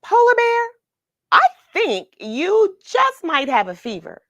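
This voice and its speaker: A woman voicing a caring, thoughtful girl who plays animal doctor in a children's storybook, with a gentle, pondering manner. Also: extremely high pitch, huge pitch swings, slow, no echo, lo-fi sound, pitched above the performer's own voice, exaggerated voice. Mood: concerned.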